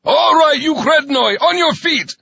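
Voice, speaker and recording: A man's voice: very high-pitched, lively intonation, measured pace, gravelly, pushed and bright, no echo, dull audio, pitched above the performer's own voice, heavily acted. A man voicing a bullying army sergeant who screeches and barks orders. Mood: shouting orders.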